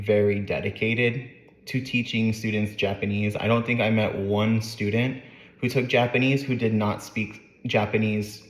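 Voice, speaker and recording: A man in his late twenties talks casually to his channel in a low voice with a light Southern accent that drops some R's. He rambles, restarts and fills gaps with "uh" and "um". His voice rings in an echoey room. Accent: light Southern US with dropped R's. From Northern California. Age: late 20s. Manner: rambling, with many fillers and self-corrections. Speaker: channel host. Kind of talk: casual talking-to-camera vlog.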